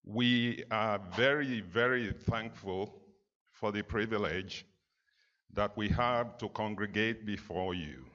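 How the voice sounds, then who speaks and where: A man with a fairly low voice leads a spoken prayer. His phrasing is slow, solemn and halting. The sound is somewhat muffled. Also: pushed and bright. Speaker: congregational prayer leader.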